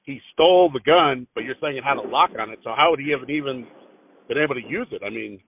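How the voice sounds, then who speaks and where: A man asking casual questions as an interviewer on a phone call, in a halting, hesitant and rambling way. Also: mid-range voice, slight background noise. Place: telephone call. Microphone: phone line. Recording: narrow muffled phone-line audio.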